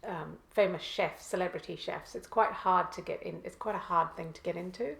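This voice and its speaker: Guest: woman from Malawi, low gravelly voice, halting delivery, echoey room. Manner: hesitant, many pauses and restarts. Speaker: guest, cookbook author. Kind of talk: conversational interview answers.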